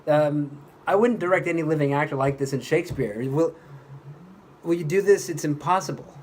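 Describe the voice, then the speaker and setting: A man's voice: on the high side, brisk, darkish tone, slight background noise, close to an everyday voice. A man playing a pompous, exasperated commercial voice actor at a recording session, grandiose, indignant and condescending. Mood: exasperated, scornful. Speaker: voice-over actor. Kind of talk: re-enacted commercial recording outtake.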